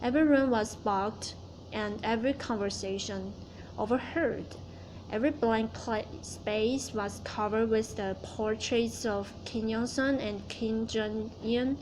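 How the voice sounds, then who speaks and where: A woman's voice: a little high, fairly even intonation, unhurried, a little mellow, low-quality recording. Woman doing a class speech, reads it out careful, redoes words she trips on, little bit of background hum. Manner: careful recitation, restarting stumbled words. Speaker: student giving a class speech. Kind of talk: reciting a prepared speech.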